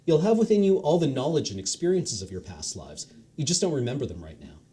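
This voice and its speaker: A man's voice: medium pitch, big pitch swings, fast talker, mellow, natural voice. Chill chatty god guy, kinda teacherly, voice bounces around a lot, read by a man. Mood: offhand. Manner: breezy and offhand, teacherly. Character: casual, chatty male God, patient and amused.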